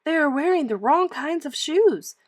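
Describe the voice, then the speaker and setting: An eager young girl from a storybook, acted out by a woman reading aloud. She is proud and confiding, exclaiming in a raised, animated voice. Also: sits high, normal speed, voice pushed up. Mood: confident, confiding.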